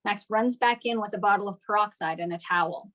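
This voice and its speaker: A woman serves as narrator for a script table read. The voice is medium in pitch, and the delivery is scripted description of scenes and actions. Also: a little fast, fairly bright tone. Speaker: stage-direction narrator.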